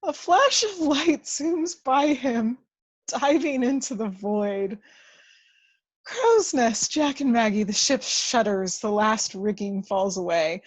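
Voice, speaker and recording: A woman's voice: a little high, expressive, takes it slowly, slightly rough, no echo. A woman reading out the action of a screenplay as a dramatic narrator. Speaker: script narrator. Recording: dull lo-fi, dry microphone.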